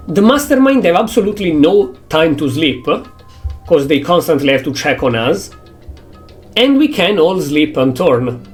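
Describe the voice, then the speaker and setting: A young man with an Italian accent, a native Italian speaker, thinking aloud in English with halting phrasing as he streams a game. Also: a little high. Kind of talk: casual gameplay commentary.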